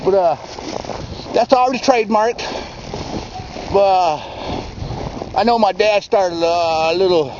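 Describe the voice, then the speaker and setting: High-voiced man rambling in mock-tough slang. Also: slow pace, pauses often. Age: 30s. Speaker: vlog host. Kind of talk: rambling comic monologue. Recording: lo-fi with loud background noise.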